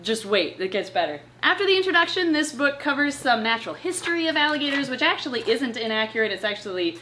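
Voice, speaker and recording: A young woman hosting in a casual, chatty way, with an expressive, playful manner. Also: fairly high pitch, quick pace. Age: young adult. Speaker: host of a reptile channel. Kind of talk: casual chatty presenting with read-aloud passages. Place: living room at home, on a couch. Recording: clear background room noise.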